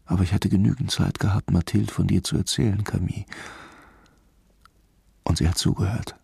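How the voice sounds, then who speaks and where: An actor playing a brooding, dreamy police commissioner in a German radio crime drama, musing and quietly wry. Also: male voice, sits low, level tone, moderate pace, vocal fry, slightly rough, heavily acted.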